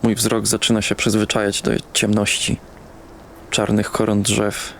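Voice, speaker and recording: A young man playing a troubled, numb young narrator in an audio drama, speaking in short, subdued, halting first-person sentences. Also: lowish voice, monotone, gravelly, a bit bright, a little hiss or hum. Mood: numb, uneasy. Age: young adult.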